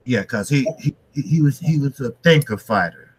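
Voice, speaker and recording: A man's voice: medium pitch, expressive, moderate pace, husky, low-quality recording. A man in his fifties, a guest in a casual interview, speaking hesitantly with many restarts. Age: 50s. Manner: hesitant, many fillers and restarts, laughs softly. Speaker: guest, boxing channel creator.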